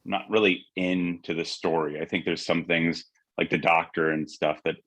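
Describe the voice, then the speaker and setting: A man on a panel giving an informal, blunt critique in a halting way. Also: deep voice, level tone, fairly bright tone, dry recording. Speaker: script panellist.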